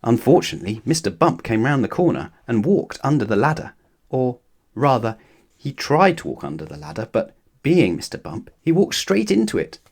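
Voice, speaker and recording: A man reads a children's story aloud in a mid-pitched voice. His delivery is very animated, rising and falling widely as he addresses young listeners. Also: normal speed. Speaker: storybook narrator.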